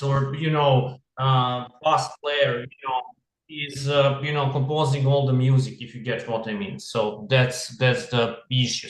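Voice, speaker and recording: A man from Serbia, answering interview questions in English over a video call, halting and full of "you know". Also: mid-range voice, fairly bright tone, reverberant, rough recording. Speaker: band member.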